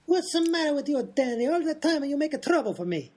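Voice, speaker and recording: An actor voicing an indignant, boastful Italian high diver in a radio comedy, with an Italian accent and insistent broken-English complaining. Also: male voice, sing-song, fast talker, darkish tone. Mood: exasperated, complaining. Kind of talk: old-time radio comedy acting.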